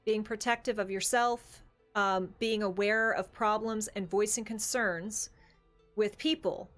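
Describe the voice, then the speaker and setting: A woman's voice: mid-range voice, steady tone, measured pace, fairly bright tone, faint music behind. A woman in a casual, opinionated discussion as a co-host, speaking emphatically and repeating phrases to drive points home. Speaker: podcast co-host.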